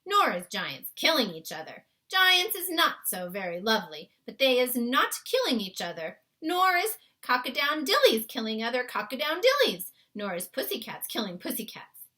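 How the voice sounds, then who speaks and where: A woman's voice: fairly high pitch, huge pitch swings, moderate pace, bright, forceful tone, voice pushed up, exaggerated voice. Woman playing an emphatic, folksy storybook giant, the BFG. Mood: earnest, emphatic. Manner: emphatic, mangled homespun grammar. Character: big friendly male giant, earnest, folksy, muddled talker. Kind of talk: children's storybook read-aloud.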